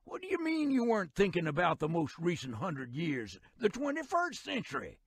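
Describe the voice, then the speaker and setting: An actor voicing a folksy, excitable old-timer railroad guide in a video game, with a rural American accent, a creaky voice and a colloquial, theatrical manner. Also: male voice, sits high, moderate pace, heavily acted. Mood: folksy storytelling.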